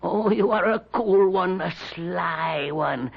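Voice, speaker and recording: An actor playing a suspicious, crotchety colonial gentleman who scolds and blusters in a raspy voice in a radio drama. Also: sits high, slow, heavily acted. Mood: accusing, indignant. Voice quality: raspy, creaky. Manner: blustering, accusatory, sneering. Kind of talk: 1970s radio drama acting. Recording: dull vintage radio recording.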